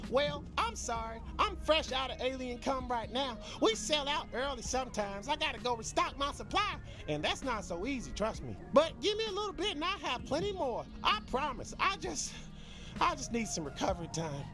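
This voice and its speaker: Shameless alien hustler for a comic game, very high voice, swooping delivery, rambling salesman patter. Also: big pitch swings, normal speed, over music. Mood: impatient. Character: sleazy, chatty male alien street peddler, shameless. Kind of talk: improvised-sounding comedic video game dialogue.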